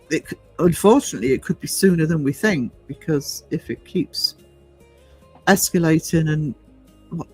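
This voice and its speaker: The fifty-something man running an online panel stream, slightly high voice, musing haltingly between viewer comments over soft background music. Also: breathy edge, low-quality recording. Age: 50s. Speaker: livestream host reading viewer comments. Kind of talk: casual discussion.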